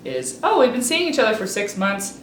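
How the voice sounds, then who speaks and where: A woman in her thirties from Alaska talks openly as an interview guest, and she often pauses on "um" and starts her sentences over. Her voice sits at an ordinary pitch for a woman. The room gives it a noticeable echo, with faint ambient noise underneath. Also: wildly swooping pitch. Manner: chatty and candid, with frequent ums and restarts. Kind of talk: casual conversation.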